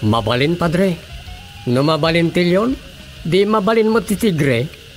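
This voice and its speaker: A man's voice: on the high side, lively intonation, measured pace, soft background music. An actor with an Ilocano accent playing an earnest, stubborn father in a comic radio drama. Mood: insistent. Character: earnest, stubborn father seeking his child's baptism. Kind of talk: comedic radio drama acting.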